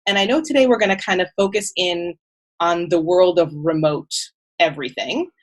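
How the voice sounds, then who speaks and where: Podcast host lady, kinda low voice, friendly, long rambly questions with lots of "kind of". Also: moderate pace. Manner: friendly, asks long loosely built questions with fillers like "kind of". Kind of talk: interview host introducing a guest and asking questions.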